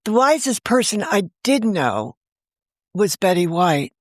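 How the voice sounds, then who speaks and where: A woman being interviewed on a podcast, telling a personal story in a warm, fond and gently reflective way. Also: mid-range voice, big pitch swings, unhurried, no echo, studio quality. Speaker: guest, novelist and podcast creator. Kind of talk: conversational storytelling.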